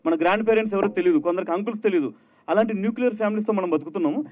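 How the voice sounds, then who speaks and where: A man's voice: sits high, racing. A man, a film director, giving a promotional thank-you speech at a launch event, mixing in English words. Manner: talks with frequent English words. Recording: dull, lo-fi event PA recording.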